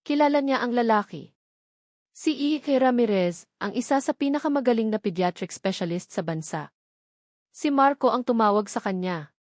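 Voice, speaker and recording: A woman narrates a sentimental drama in a scripted storytelling style. Her pitch sits in the middle range for a woman. The sound is dry and close, with no room around it. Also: a little mellow, no room sound.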